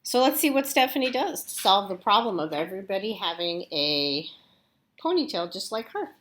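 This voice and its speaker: A woman reads a children's storybook aloud as a teacher would. Her pitch is lively and varied, and she breaks off for casual asides to the kids. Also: moderate pace. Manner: expressive, addresses young listeners directly.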